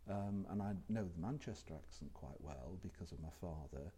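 A man's voice: sits low, normal speed, warm tone, husky. An older man, a former university lecturer, recalling his career in a reflective, hesitant way full of ums. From England. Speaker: retired lecturer being interviewed. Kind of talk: oral history interview.

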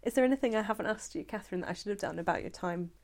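A woman interviewing someone for an oral history project, asking gentle, polite questions. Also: medium pitch, racing, creaky.

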